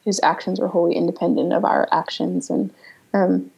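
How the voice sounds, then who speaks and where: A woman in her thirties, originally from Pennsylvania, speaks as a podcast guest, pausing and circling back as she reflects. Her voice is husky with a gravelly fry, and her pitch stays quite flat. She sits at an ordinary middle pitch for a woman. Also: dull audio. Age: 30s. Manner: reflective, halting, many um fillers. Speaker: guest, writer. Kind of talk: casual interview talk.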